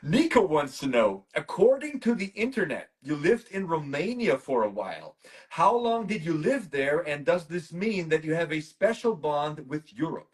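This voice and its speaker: High-voiced, animated male host, enthusiastic reactions. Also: moderate pace. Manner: reads fan questions, enthusiastic reactions. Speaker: interviewer host. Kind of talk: casual interview.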